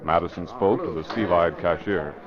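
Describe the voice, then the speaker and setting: A man's voice: deep voice, lively intonation, moderate pace, a crowd in the background. A man narrating an old-time radio drama as announcer, formal and dramatic in his scripted delivery. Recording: dull old radio recording, music behind.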